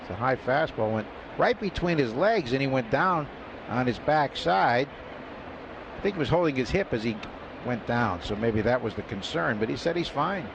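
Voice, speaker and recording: A man giving relaxed, chuckling color commentary on a baseball radio broadcast. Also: mid-range voice, normal speed. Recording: muffled radio broadcast with noise.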